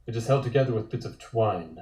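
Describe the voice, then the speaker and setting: A man's voice: lowish voice, expressive, brisk, mellow. A man reading a comic script aloud as narrator. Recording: echoey room.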